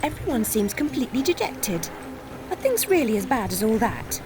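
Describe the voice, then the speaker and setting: A woman playing a composed, sharp-tongued, morose young woman in a video game, speaking in prim, formal, dry phrases. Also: a little high, very animated, moderate pace, over music. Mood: prim, matter-of-fact. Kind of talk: video game character acting.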